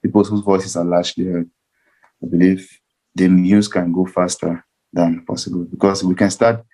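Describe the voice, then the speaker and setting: A man's voice: low-pitched, steady tone, normal speed, dark tone. A man giving earnest, unscripted remarks in a discussion, often rephrasing as he goes. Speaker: discussion participant.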